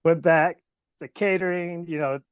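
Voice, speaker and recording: A man's voice: fairly high pitch, steady tone, moderate pace, clean tone, no room sound, studio quality. A man with a Southern US accent, a guest wrestler telling stories casually, laughing often and rambling with many fillers. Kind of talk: casual storytelling.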